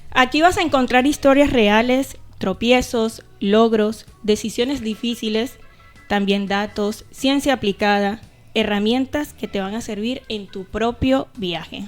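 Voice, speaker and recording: A woman's voice: on the high side, measured pace, faint music behind. A woman with a Venezuelan Caribbean accent, co-hosting a conversational podcast. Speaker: podcast co-host. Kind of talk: casual podcast chat with scripted intro.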